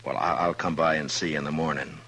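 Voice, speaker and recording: An American man playing a grave, gentle frontier marshal in a 1950s radio western, speaking slowly and courteously with halting understatement. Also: rumbling low, steady tone, full character voice. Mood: somber, gentle. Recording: noisy 1950s radio recording with hum.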